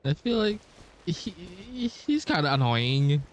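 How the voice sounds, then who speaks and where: A man's voice: a little high, wildly swooping pitch, slow pace, a little hiss or hum. A man giving casual playthrough commentary as a game streamer, hesitant and trailing off mid-thought.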